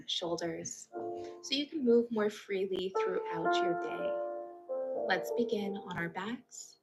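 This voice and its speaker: A woman's voice: medium pitch, creaky. A woman guiding a yoga routine as an instructor, giving step-by-step movement cues. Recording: loud music under the voice. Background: instrumental music.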